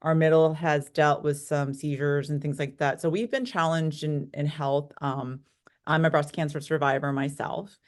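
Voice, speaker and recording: Low-pitched American woman in her forties. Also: fairly even intonation, normal speed. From United States, born in Korea. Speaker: interview guest, real estate broker. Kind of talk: conversational interview answers, personal storytelling.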